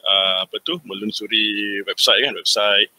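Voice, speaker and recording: A man's voice: lowish voice, steady tone, measured pace, bright, forceful tone, rough recording. A man hosting an interview in a casual way, friendly and hesitant, with many fillers. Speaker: interview host.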